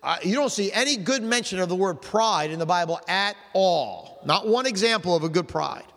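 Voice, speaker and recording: An older man preaching a sermon as pastor, with fervent emphasis, slowing down to stress scripture and putting questions to the congregation. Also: high voice, expressive, fairly bright tone. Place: church sanctuary. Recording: long reverb of a large hall.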